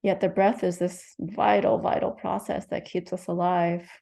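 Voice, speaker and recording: Meditation teacher, female, low, warm tone with a soft gentle delivery. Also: flat delivery, gravelly. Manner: slow, gentle, soothing instructions with long pauses. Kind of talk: guided meditation.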